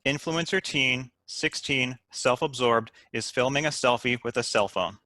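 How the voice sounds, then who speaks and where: Guy reading script stage directions, bright midrange voice, no room echo. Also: medium pitch, unhurried. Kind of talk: scripted narration at a table read.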